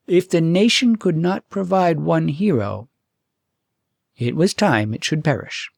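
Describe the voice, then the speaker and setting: Man intoning a solemn, deliberate fairytale oracle. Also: a little high, expressive, creaky, dry recording, clean studio sound, voice pushed up, heavily acted. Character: solemn, stern oracle inscription read aloud.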